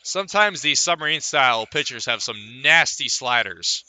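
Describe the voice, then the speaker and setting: A man's voice: medium pitch, expressive, moderate pace, sharp tone, no echo. A man calling live baseball play-by-play for radio. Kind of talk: live radio sports commentary.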